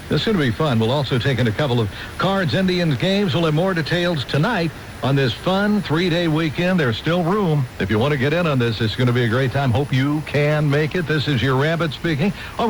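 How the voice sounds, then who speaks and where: Older American radio DJ, higher-pitched, noisy broadcast. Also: male voice. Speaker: radio DJ and host of an oldies show. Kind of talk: casual DJ patter between oldies, with ad-libbed sponsor reads.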